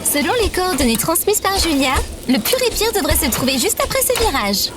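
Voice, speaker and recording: A woman plays a young female quester with a very high voice and insect noise behind her. She explains plainly and earnestly, a reluctant heroine of audio comedy. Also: sing-song, a little fast. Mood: informative. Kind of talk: audio fiction comedy acting. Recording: outdoor ambience with insects.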